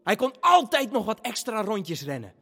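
An actor narrating a family radio play as an excitable storyteller, with dramatic, halting build-ups. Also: male voice, high voice, vocal fry, slightly rough, exaggerated voice. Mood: boastful excitement. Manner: animated, halting build-ups with self-corrections. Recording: long hall reverb, music behind. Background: music.

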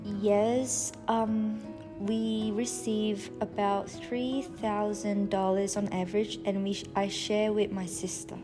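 A woman's voice: mid-range voice, flat delivery, slow, music in the background. A woman in her twenties with a slight Australian accent, answering interview questions in English that is not her first language, with many "um"s and "like"s. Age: 20s. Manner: chatty, many fillers like "um" and "like". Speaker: interviewee, university student.

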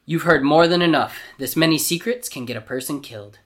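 A man voicing a scheming, menacing Grand Vizier villain in a pompous, theatrical storybook reading, deliberate and cold. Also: on the high side, fairly bright tone, voice pushed up, close to an everyday voice. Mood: grim menace.

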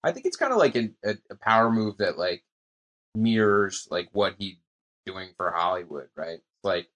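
A man with a fairly low voice chats casually about films. He thinks aloud in halting, musing phrases, peppered with "like", as a co-host in an informal discussion. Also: sing-song, a bit bright. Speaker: film podcast co-host.

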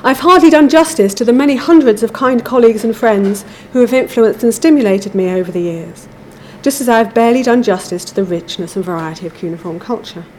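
A woman giving a measured, formal academic lecture from a prepared text. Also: on the high side, a little mellow, slight background noise. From England.

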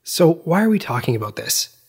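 A man hosting a podcast, narrating in a measured, reflective and personal way. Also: a little high, very animated, a little mellow, breathy edge. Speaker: podcast host.

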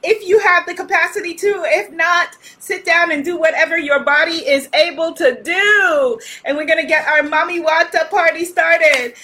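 A woman from Guyana hosting an online spiritual festival on a livestream, loud and energetic, leading chants and cheering on viewers. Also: extremely high pitch, normal speed, few pauses. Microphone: phone or webcam on a livestream.

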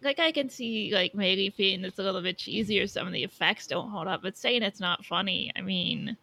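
Female co-host, crisp bright edge, fry-laden, emphatic casual chat, very dry recording. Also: mid-range voice, normal speed, dry recording, low-quality recording. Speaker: podcast co-host.

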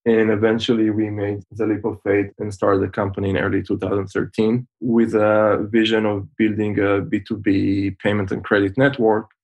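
A man in his forties with an Israeli accent, a podcast guest explaining things in a measured way with frequent pauses over a video call. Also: lowish voice, little pitch movement, darkish tone, lo-fi sound. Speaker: guest, fintech founder.

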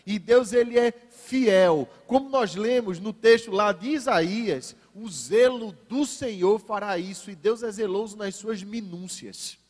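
A man's voice: sits high, unhurried, hardly any pauses, a little mellow, long reverb tail. A man from Brazil preaching a sermon to a congregation. He shifts his pace for emphasis and slips in ironic asides.

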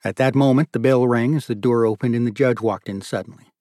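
A man's voice: mid-range voice, brisk, dark tone, no room sound, clean studio sound. A man narrating literary fiction as a scripted audiobook.

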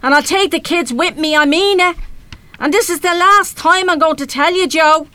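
A woman's voice: extremely high pitch, normal speed, pushed and bright, no echo. A woman with an Irish accent playing a battered but defiant working-class mother in a live radio play. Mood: angry, warning. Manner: blunt, salty, plain-spoken.

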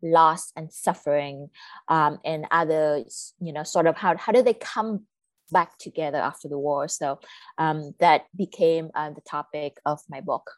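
A woman's voice: low voice, unhurried, no room sound. A woman in her thirties from Vietnam, an academic guest answering interview questions in a hesitant, thoughtful, conversational way. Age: 30s. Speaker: academic author, podcast guest.

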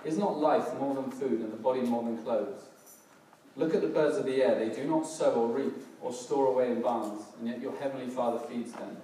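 A middle-aged man with a slightly hoarse, crackly voice, preaching a casual church sermon in a relaxed, self-deprecating way with many fillers and restarts. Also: medium pitch, a little fast. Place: church hall, in front of a congregation. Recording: distant, very echoey hall with background noise.

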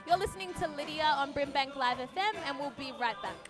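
A woman's voice: high-pitched, fairly quick. A woman radio host interviewing a festival guest live on air, upbeat and laughing often as she echoes his words. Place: outdoor festival. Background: music.

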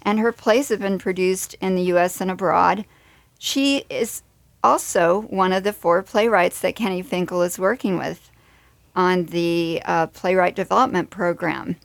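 A woman's voice: lowish voice, unhurried, gravelly, no echo. A woman hosting a radio show from a studio, introducing segments and interviewing guests.

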